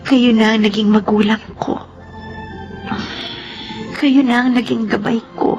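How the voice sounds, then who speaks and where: A middle-aged woman from the Philippines tells her own story in a heartfelt, tearful manner. Her voice sits a little high, and music plays clearly beneath her narration. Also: fairly even intonation, measured pace, low-quality recording. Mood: tender. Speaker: narrator and main character. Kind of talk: emotional first-person drama narration. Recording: background music under the voice.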